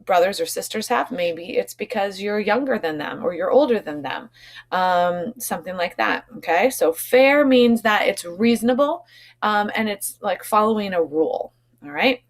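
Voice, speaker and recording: A woman elementary school teacher explaining vocabulary to children in an instructive way, often checking in with short tag questions. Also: on the low side.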